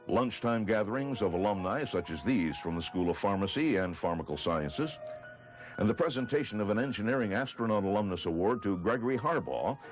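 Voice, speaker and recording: An American man reading scripted narration for a newsreel. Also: on the low side. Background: music bed.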